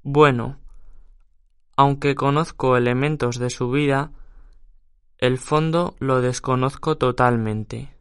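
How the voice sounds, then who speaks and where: Man, scripted reader, very slow, smooth resonant voice, dry close sound, measured delivery. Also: mid-range voice, steady tone, no room sound. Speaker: audio reader for a listening exercise.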